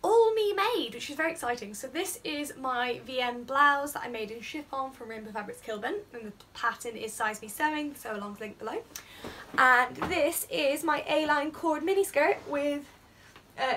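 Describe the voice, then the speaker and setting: A woman's voice: sits high, a bit bright, a little hiss or hum. Chatty young woman vlogging at home. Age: young adult. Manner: chatty and upbeat, quick asides. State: croaky voice. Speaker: sewing vlogger. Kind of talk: casual vlog chat. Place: small home room. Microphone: phone or camera at arm's length.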